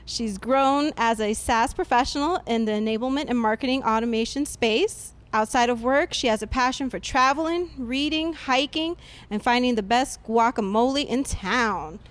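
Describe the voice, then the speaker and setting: A woman's voice: on the high side, moderate pace. A woman announcer reading out a scripted introduction of a guest speaker at an event. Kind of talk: scripted introduction read aloud.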